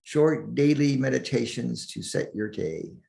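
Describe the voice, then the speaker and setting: A man guiding a sleep meditation in a soothing, deliberate manner, leaving long pauses between short phrases. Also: medium pitch, creaky, warm tone. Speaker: meditation guide.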